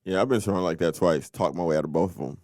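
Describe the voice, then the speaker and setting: A young man co-hosting a podcast, telling stories in a loose, animated way and acting out what people said. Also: low voice, quick pace, no echo, studio quality. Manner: animated storyteller, acts out quotes. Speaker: podcast co-host. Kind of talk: casual storytelling chat.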